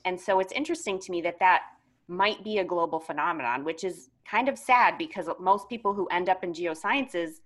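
Enthusiastic woman podcast host, lower voice. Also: fairly quick, a bit bright. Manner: effusive, enthusiastic. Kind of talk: casual interview talk.

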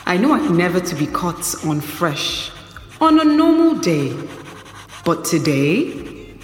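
In echoing reverb, a vain young schemer confiding in her diary. She drawls very slowly and smugly, with lots of swoops in a lowish voice. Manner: smug, slow, self-admiring. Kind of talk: dramatic diary monologue in audio drama. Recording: echoey, reverberant room.

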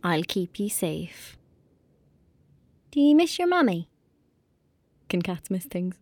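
A woman's voice: lowish voice, sing-song, slow, a little mellow, no room sound, exaggerated voice. Lonely irish country girl in a radio play, blunt and dreamy, very dry close sound. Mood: tender, wistful. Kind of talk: audio drama acting.

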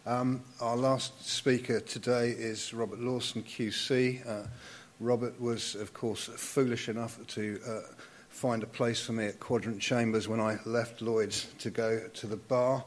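A man's voice: medium pitch, little pitch movement, a little slow. A man acting as master of ceremonies, introducing a speaker in a dry, understated, slightly hesitant way. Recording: clear background noise, live event.

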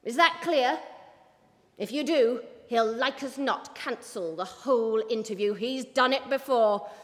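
A woman's voice: on the high side, unhurried, big-room sound, natural voice. A woman voicing a formidable, impatient female newspaper boss, brusque and emphatic, in an audiobook. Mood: stern. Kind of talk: audiobook character voice.

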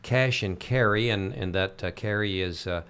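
A man hosting an interview podcast, introducing a guest in a halting way, with many pauses. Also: on the low side, a bit bright, clear, resonant tone. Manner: halting, many "uh" fillers and restarts. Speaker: podcast host.

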